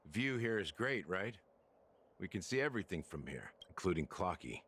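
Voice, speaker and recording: An actor playing a grave, world-weary officer in a video game, telling history in a measured, solemn way. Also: male voice, low voice, sing-song, fairly bright tone, slightly rough, heavily acted. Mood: guarded, casual. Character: grave world-weary older male officer recounting old wars. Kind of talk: dramatic video game voice acting. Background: background game music.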